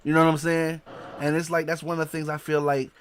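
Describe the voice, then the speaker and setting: A man hosts a relaxed podcast interview with a slightly high voice. His talk is loose and full of slang and filler phrases. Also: fast talker, clear, resonant tone. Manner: laid-back slangy talk, many "you know what I'm saying" fillers. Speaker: podcast host. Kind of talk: casual interview.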